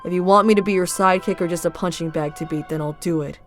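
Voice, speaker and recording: A woman's voice: on the low side, fairly even intonation, fast talker, faint music behind, natural voice. A woman voicing a guilty, self-loathing teenage boy who stammers anxiously. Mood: resigned.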